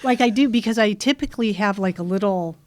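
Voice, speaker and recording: A woman's voice: medium pitch, fast. Chatty woman with a slightly nasal voice. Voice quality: somewhat nasal. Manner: chatty, reacts with surprise. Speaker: podcast co-host. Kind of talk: casual chat.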